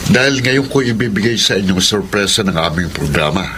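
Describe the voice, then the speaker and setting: A man's voice: on the low side, lively intonation, a little fast, sharp tone, slight background noise. A man playing a kind, formal charity program host in a radio drama. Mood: reassuring. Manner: formal, reassuring.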